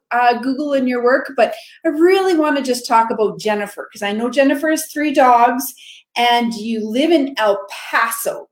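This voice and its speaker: A woman hosting a podcast, chatting in a casual, enthusiastic way as she introduces and questions her guest. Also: a little high. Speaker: podcast host. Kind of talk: casual interview chat. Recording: roomy echo.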